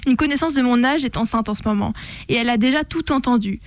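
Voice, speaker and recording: High-voiced French woman reading radio commentary. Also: fast talker, no echo. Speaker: radio show presenter. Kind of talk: scripted opinion commentary. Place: radio studio.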